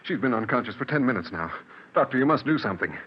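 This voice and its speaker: An actor playing a doting, anxious, yielding father in a 1940s radio drama, earnest and melodramatic, with a husky voice. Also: mid-range voice, animated, quick pace. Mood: alarmed, urgent. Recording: dull 1940s radio recording.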